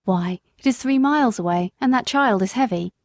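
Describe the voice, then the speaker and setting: A woman voicing a kind, steady London cab driver in a storybook reading, speaking plainly, gently and firmly. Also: fairly high pitch, moderate pace, no room sound. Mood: kindly firm.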